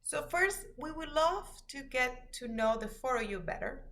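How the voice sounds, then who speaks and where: A woman's voice: high voice, expressive, moderate pace, fairly bright tone. A woman moderating a panel discussion, introducing topics and speakers.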